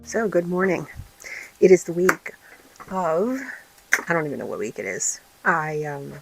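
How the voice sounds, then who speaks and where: A woman hosting a casual vlog, her voice raspy and tired, rambling with many fillers and restarts. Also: fairly low pitch, very animated, unhurried, music in the background, rough recording. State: tired, recovering from illness. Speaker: vlog host and small craft business owner. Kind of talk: casual chatty vlog update.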